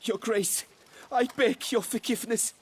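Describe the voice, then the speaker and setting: A man's voice: very high voice, steady tone, measured pace, husky, heavily acted. An actor playing a frightened, guilt-ridden male wine master of noble birth in a video game, stammering and pleading deferentially. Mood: nervous, begging. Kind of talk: dramatic video game acting.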